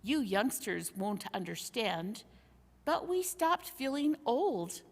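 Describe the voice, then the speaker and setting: A woman's voice: fairly high pitch, sing-song, slow, vocal fry, full character voice. A woman voicing a genial, reflective bearded lord in a storybook reading. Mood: genial reflection. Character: Lord Diggory, golden-bearded, once-old lord, genial. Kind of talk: storybook character dialogue. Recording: hall-like long reverb.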